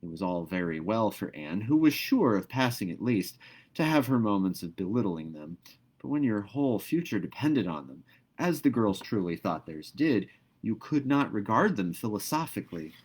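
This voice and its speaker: A man with a fairly low voice reads a classic story aloud. His storybook narration is expressive and carefully measured, giving each phrase its place. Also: breathy edge. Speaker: narrator reading a novel aloud.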